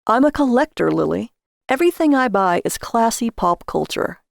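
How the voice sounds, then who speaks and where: A boyish, defensive husband from an audio story, played by a woman reader. He protests earnestly and eagerly, with a creaky edge to the voice, recorded close and dry. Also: fairly high pitch, very animated, normal speed, dry recording, pitched above the performer's own voice. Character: defensive, childish husband, eager obsessive bargain collector.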